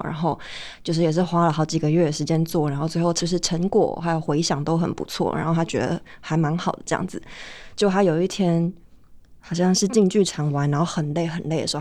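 Taiwanese woman guest chatting casually, slightly low voice, thinking things over as she tells a story. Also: a little mellow. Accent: Taiwanese Mandarin. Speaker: stage actor, podcast guest. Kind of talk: casual reflective chat.

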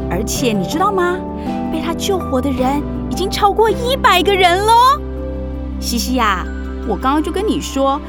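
A woman voicing a lively, kindly witch aunt in an expressive children's audio drama style. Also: very high voice, measured pace, long pauses, over music, heavily acted. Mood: confiding. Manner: expressive, animated.

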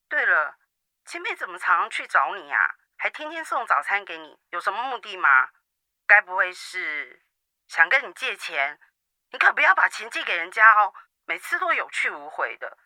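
A woman's voice: mid-range voice, sharp tone, no room sound. A woman acting a busy married daughter on the phone in a radio drama, coaxing her mother and making excuses. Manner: wheedling and excuse-making, suspicious of others. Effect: phone call.

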